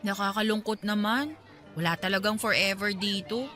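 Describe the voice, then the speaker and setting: A friendly young woman played by an actress talks as if to an old pal in a radio drama. Her voice is bright and clear-cut, set over a faint music bed. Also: mid-range voice, normal speed, natural voice. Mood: wistful. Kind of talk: Tagalog radio drama dialogue.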